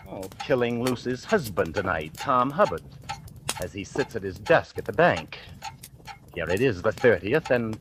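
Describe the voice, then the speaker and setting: Cold, sneering killer, played by a man, very low voice, old broadcast with score. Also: natural voice. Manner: smooth, sneering, measured. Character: cold calculating male killer posing as a doctor. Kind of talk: 1940s radio acting. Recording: old radio broadcast with music underneath.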